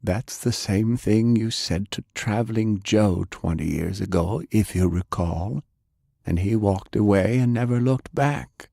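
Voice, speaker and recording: A man performs a weary, principled older gentleman in an audiobook. The voice is low and gravelly, in a close dry recording. The delivery is measured and full of disapproval. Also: dry recording. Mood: reproachful. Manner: measured, reproving. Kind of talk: audiobook character acting.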